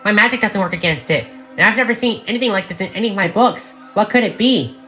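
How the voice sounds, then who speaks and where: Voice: medium pitch, fast, bright, forceful tone, faint music behind, pitched above the performer's own voice, close to an everyday voice, poor audio. A narrator voicing a timid, studious young female cartoon unicorn with an anxious manner. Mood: worried.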